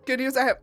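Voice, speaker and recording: A young woman streaming, her voice hoarse from laughing, breaking into giggles as she chats. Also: high-pitched, dry recording. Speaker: VTuber artist streamer. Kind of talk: casual live stream chat.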